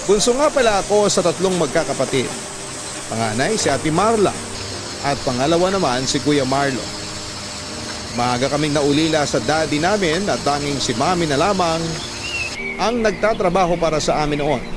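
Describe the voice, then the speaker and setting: A man's voice: on the high side, animated, moderate pace, background noise, natural voice. A man playing a middle-aged musician who narrates a life story as a scripted letter to a radio show. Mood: reflective.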